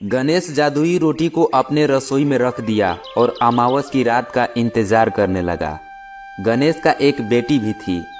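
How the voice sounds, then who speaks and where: A man's voice: mid-range voice, a little mellow. A man narrating a scripted moral tale for children as the storyteller. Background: background music.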